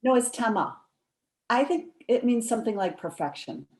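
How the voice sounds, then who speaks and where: A woman's voice: a little high, lively intonation, normal speed, a little mellow, natural voice. A woman acting a coy, sheepish friend who guards a secret, giving hesitant, evasive replies in a conversational comic one-act. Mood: playful.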